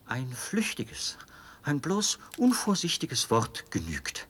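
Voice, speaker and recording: An actor playing a fervent, fanatically devoted officer in a German radio drama, speaking with insistent, theatrical persuasion and a husky voice. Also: male voice, mid-range voice, wildly swooping pitch, slow, a bit bright, in a whisper, full character voice. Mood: insistent, scheming.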